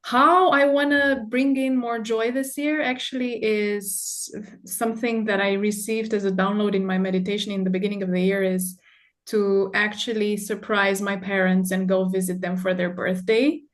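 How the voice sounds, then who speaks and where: A woman's voice: medium pitch, little pitch movement, normal speed. A woman from Romania, a leadership coach, talking casually on a video call with frequent fillers and spiritual phrasing. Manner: warm, spiritual wording, many fillers.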